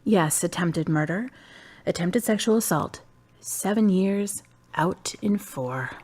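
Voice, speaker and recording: An actress playing a calm, wise young woman in her twenties from the United States, who talks bluntly and teases dryly. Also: on the low side, slow. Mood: somber. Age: 20s. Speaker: friend and love interest. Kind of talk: amateur web drama acting.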